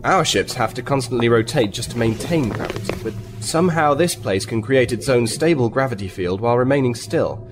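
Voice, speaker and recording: An actor playing a firm, formal military captain who is politely confrontational, in a sci-fi audio drama. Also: male voice, medium pitch, fairly quick, few pauses, over music, lightly acted. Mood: impressed. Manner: formal, assertive.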